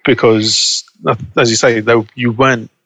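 A young man as an interview guest, chatting casually and relaxed, laughing easily. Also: medium pitch, normal speed, no room sound, poor audio. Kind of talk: casual conversation.

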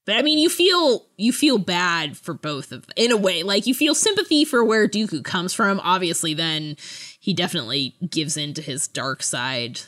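A woman's voice: fairly low pitch, lively intonation, moderate pace, a bit bright. A woman hosting a podcast in a casual book discussion, often breaking off and rephrasing her thoughts as she goes. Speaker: podcast host.

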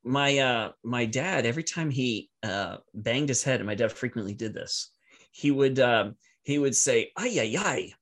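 A man whose first language is French, telling personal stories casually as a podcast guest in a halting, reflective, easily amused way. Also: medium pitch, lively intonation, a bit bright.

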